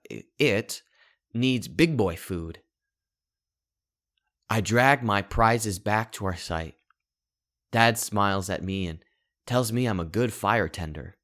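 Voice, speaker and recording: A man reading a scripted first-person short story in a deliberate way, with dramatic pauses between short phrases. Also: lowish voice, fairly bright tone. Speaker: author reading his own short story.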